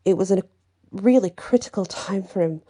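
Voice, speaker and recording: A woman softly reading a bedtime story in ASMR style, breathy and drifting into whispers, lingering between short phrases. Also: mid-range voice, normal speed, no echo, clean studio sound.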